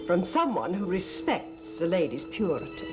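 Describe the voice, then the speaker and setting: A poised, arch lady with lively intonation. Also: lowish voice, music in the background. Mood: arch, flirtatious.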